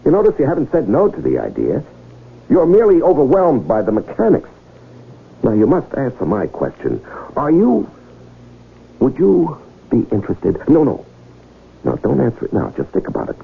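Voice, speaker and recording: An American actor playing a smooth, wily con man in old-time radio drama, persuasive and teasing in florid, formal phrases. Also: mid-range voice, huge pitch swings, moderate pace, heavily acted. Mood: grandly coaxing. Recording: muffled old radio broadcast, faint music.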